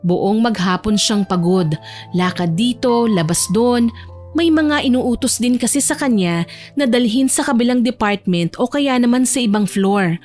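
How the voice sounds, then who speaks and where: High-voiced female storyteller. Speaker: narrator. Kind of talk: scripted romance audio-drama narration. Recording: music bed behind the voice.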